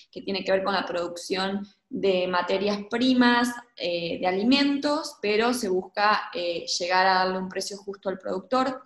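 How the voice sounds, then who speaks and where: Argentine woman, thirties, podcast guest, conversational, reflective, mid-range voice, noticeable room echo. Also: mid-range voice. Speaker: podcast guest, urban farming project co-founder. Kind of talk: casual conversational interview. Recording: roomy, echoing sound.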